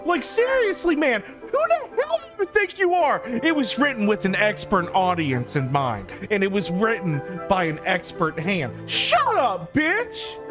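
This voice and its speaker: A woman's voice: medium pitch, wildly swooping pitch, unhurried, few pauses. A woman streamer giving brash, sweary, theatrical reactions to a game as she plays. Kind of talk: reactive gameplay commentary. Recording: game music behind.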